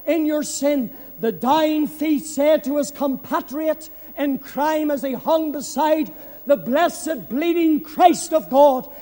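A man preaching a fervent evangelistic sermon, solemn and emphatic, repeating phrases for effect and swinging between hushed and loud, impassioned delivery. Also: extremely high pitch, takes it slowly. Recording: long hall reverb.

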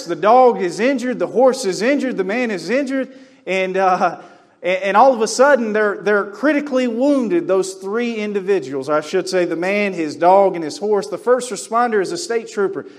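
Joking preacher with a high voice. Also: male voice. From North Carolina. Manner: joking, folksy, builds to loud emphatic storytelling. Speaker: guest preacher. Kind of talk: sermon. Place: church sanctuary.

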